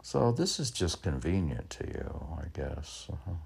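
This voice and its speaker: A man in his sixties rambling alone between lines of his own poetry, halting, questioning himself and repeating phrases. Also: extremely low pitch, very animated, vocal fry, a little mellow, breathy edge. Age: 60s. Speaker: poet reading own work and commenting. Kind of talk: rambling solo monologue mixed with poem reading.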